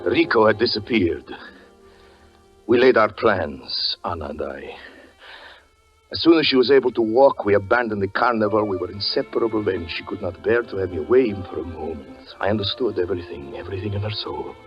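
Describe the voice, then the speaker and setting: Melodramatic, tormented actor as a 1940s radio magician. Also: male voice, on the low side, lively intonation, fairly quick, faint music behind, natural voice. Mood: brooding. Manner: melodramatic, swinging between hushed narration and anguished cries. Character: tormented stage magician husband, haunted and fervent, recounting his story. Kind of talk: 1940s radio suspense drama acting. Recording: muffled vintage 1940s radio recording.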